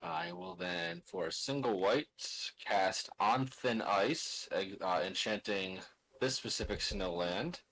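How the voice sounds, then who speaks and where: A man plays a tabletop card game and talks through each step in a casual way. His voice is on the low side and he takes his time, often muttering or counting aloud as he thinks out his turn. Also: expressive, slow pace, creaky, fairly bright tone, a little hiss or hum, low-quality recording. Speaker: card game player and streamer.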